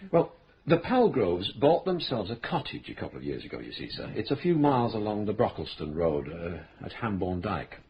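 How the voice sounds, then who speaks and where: A level-headed police inspector in a radio crime play. He sets out the case in a measured, courteous way, and his voice rises and falls with feeling under a faint hiss. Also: fairly low pitch, very animated, muffled recording. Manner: measured, polite, explanatory. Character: calm, patient male police inspector.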